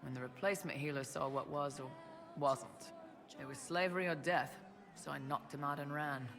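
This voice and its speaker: A man's voice: on the high side, moderate pace, noisy background, lightly acted. An actor playing a blunt, loyal male mercenary lieutenant in a fantasy video game cutscene. He talks in plain, no-nonsense soldier language.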